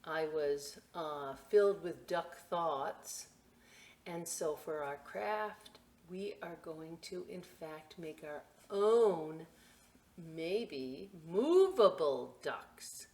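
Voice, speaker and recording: A woman hosting a children's story time and craft demonstration, chatting to young listeners in a playful, expressive, informal way with asides. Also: low voice, extremely slow. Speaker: library story time host reading picture books and showing a craft.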